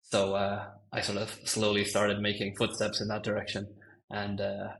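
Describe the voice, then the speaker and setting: Irish guy, thirties, podcast guest; low steady voice, chatty, lots of ums and restarts. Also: normal speed, vocal fry, poor audio. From Ireland. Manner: rambling and self-correcting, many ums, laughs easily. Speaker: guest, machine learning engineer. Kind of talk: casual podcast chat.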